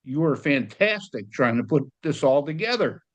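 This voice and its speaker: A man's voice: on the high side, sing-song, normal speed, dull audio. A man giving effusive, emphatic feedback in a casual way as an audience member on a video call.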